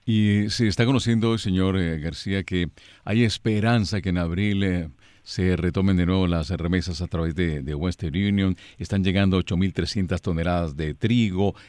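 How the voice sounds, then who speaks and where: A man's voice: deep voice, fairly even intonation, moderate pace, darkish tone, smooth, dry recording. A man as a news anchor, putting long formal questions to a guest.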